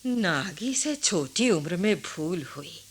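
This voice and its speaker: A woman playing a wise, blunt, compassionate old village grandmother in a radio play, with a husky voice. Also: on the low side, expressive, takes it slowly, heavily acted. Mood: knowing, tender. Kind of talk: Hindi radio play acting.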